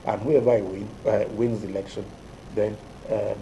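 A middle-aged Nigerian man, an electoral official, answering interview questions in a TV studio in a deliberate, hesitant way with many fillers. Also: on the low side, little pitch movement, mellow, dull audio, slight background noise. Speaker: electoral commission official, guest.

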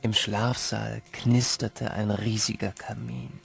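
An actor narrating an audio drama in a measured, reflective way, as a man recalling boyhood. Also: on the low side, fairly even intonation, creaky, soft background music, full character voice. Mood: quiet, wistful.

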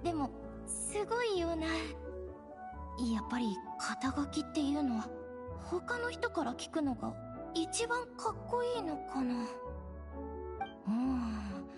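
A woman's voice: sits high, sing-song, slow, pauses often, music in the background, heavily acted. A woman voicing a shy, earnest young ranger girl in an anime game, hesitant and musing. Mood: bashful, musing. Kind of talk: Japanese anime game voice acting.

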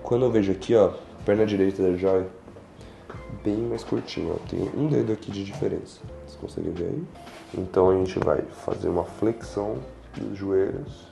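Casting: young Brazilian male chiropractor, low gravelly voice, reassuring, guiding a patient in a roomy space. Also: moderate pace. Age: young adult. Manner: reassuring, checking in often. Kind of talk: instructing a patient during treatment, explaining to camera. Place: small indoor treatment room with large windows. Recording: roomy echo, faint music behind.

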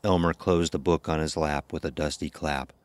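Male narrator for scripted horror fiction, exceptionally deep register, backed by music. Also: fairly even intonation, normal speed, no echo.

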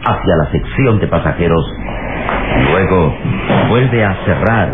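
Narrator: man, lowish voice, dramatic scripted radio serial delivery, tinny old recording. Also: expressive, unhurried, very noisy.

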